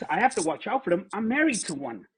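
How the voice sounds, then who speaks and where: Husky-voiced man pressing his point. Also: high-pitched, sing-song, fast talker. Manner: insistent, repeats his points, sarcastic. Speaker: livestream participant. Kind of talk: heated debate.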